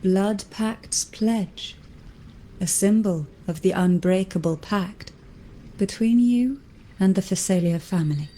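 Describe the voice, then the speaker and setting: Slow, poised noblewoman voiced by an actress. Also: mid-range voice, mellow, slight background noise, close to an everyday voice. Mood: composed, gracious. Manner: formal, measured, deliberate, coolly teasing. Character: poised, teasing noblewoman heading a powerful family. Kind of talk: anime-style video game voice acting.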